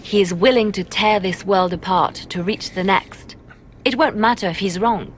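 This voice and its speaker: An actress playing a resolute female advisor to a war leader in a fantasy video game, speaking gravely. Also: mid-range voice, normal speed, slight background noise, close to an everyday voice. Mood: grave warning. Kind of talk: dramatic video game acting.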